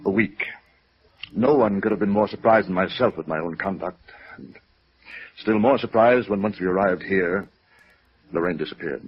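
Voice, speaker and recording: Wistful, formal executive, low husky voice, hesitant and evasive, vintage radio drama recording. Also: male voice, moderate pace, pauses often, dull audio. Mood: polite, guarded. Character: genteel, evasive, wistful male brokerage president. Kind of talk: 1950s radio drama acting.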